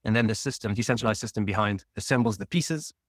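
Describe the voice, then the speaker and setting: A man from Tunisia talks fast and a little low, piling up his story with quick restarts. He is a founder guest on a casual interview show. The sound is dry and close, with no room around it. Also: dry recording.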